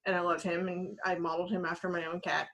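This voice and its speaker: A woman's voice: lowish voice, level tone, quick pace, fairly bright tone. A woman co-hosting a podcast, chatting informally and with a fan's enthusiasm about games and films. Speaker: podcast co-host.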